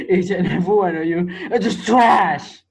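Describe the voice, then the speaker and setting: Man acting as a menacing, wildly animated unhinged teacher. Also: sits high, gravelly, heavily acted. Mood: scornful ranting. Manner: theatrical, taunting, with drawn-out words. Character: menacing, unhinged male teacher taunting his captive students. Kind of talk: amateur reader's theater acting.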